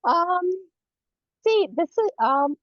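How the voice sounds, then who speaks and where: Female lecturer as interview guest, non-native English, lively intonation, chatty and earnest, slightly dull dry recording. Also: very high-pitched, takes it slowly, no room sound. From Saudi Arabia. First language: not English. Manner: frequent "you know", earnest explaining. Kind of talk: conversational interview answers.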